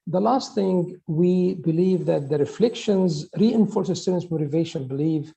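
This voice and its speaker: A middle-aged man from Egypt, giving a conference talk in a halting way with restarts and fillers. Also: a little high, vocal fry, warm tone, low-quality recording. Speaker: conference presenter, professor.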